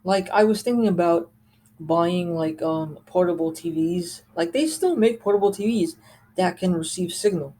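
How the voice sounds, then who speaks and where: A mellow, medium-pitched voice hosts a casual show-and-tell of gadgets. The delivery is conversational and wanders into asides. There is some faint noise behind the voice. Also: normal speed. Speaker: host reviewing a radio collection.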